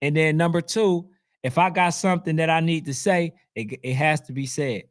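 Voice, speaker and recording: A man with a fairly high, hoarse voice talks straight to his audience, as if his voice is wearing out. He comments on sports in an animated, preachy manner, reading out comments as he goes. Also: moderate pace. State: losing his voice. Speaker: youth football channel host.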